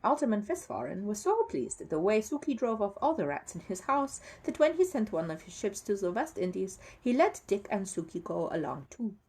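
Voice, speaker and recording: A woman voicing a kindly older gentleman in a children's story, explaining things patiently. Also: mid-range voice, very animated, fairly quick, hardly any pauses, husky, pitched above the performer's own voice.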